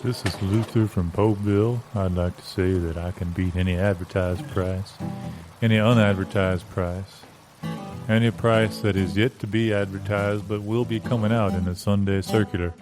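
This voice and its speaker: A no-frills small-town car dealer acted by a man makes his case in a low voice. He is earnest and deliberate, piling up words as he goes in a mock radio commercial. A guitar is picked in the background. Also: fairly even intonation, lightly acted. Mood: earnest, insistent. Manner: earnest, deliberate, wordy salesmanship. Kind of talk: improvised parody radio commercial. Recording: guitar music behind the voice.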